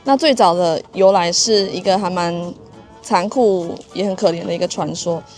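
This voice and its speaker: A woman's voice: on the low side, normal speed, a little mellow. A woman giving informative travel narration, explaining local history in an orderly way. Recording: light music under the voice. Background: music.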